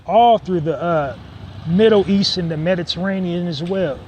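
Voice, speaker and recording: Man, podcast host, slightly high voice, rambling with lots of fillers, faint street noise. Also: moderate pace, a little mellow. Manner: rambling, many fillers and restarts. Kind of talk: casual solo podcast monologue.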